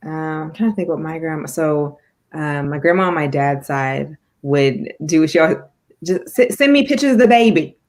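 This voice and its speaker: A woman speaking as a guest on a talk show in casual, lively conversation. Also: sits low, normal speed. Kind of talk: casual chat.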